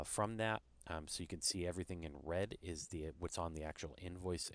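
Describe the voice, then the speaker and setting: A man's voice: deep voice, dry recording. A man presenting an informal screen-share product demo, explaining things step by step.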